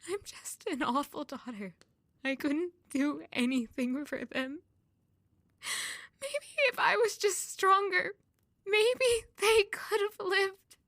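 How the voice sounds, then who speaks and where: A woman's voice: extremely high pitch, slow pace, fairly bright tone, no echo, clean studio sound, exaggerated voice. An actress playing a fragile young servant woman, grieving and full of self-doubt, in an emotional audio drama. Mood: anguished, tearful.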